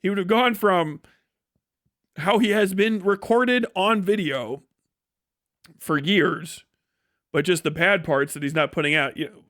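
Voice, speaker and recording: Blunt American man, higher-pitched voice. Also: unhurried, long pauses, a bit bright, no echo, clean studio sound. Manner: blunt, opinionated, sweary ranting. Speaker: livestream host. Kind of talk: casual, profane livestream commentary.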